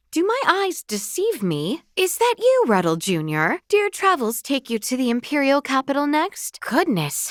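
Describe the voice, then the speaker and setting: A woman's voice: a little high, no room sound, clean studio sound. A woman voicing a gracious, earnest young royal lady in a fantasy video game, speaking in formal, courtly, old-fashioned phrasing. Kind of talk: scripted video game voice acting.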